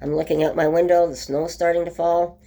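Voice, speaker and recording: A woman talking casually in a home video update, her voice rough from a cold, full of ums and restarts. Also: on the low side, little pitch movement, brisk, mellow. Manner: chatty, many ums and restarts. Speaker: vlogger. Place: at home by a window.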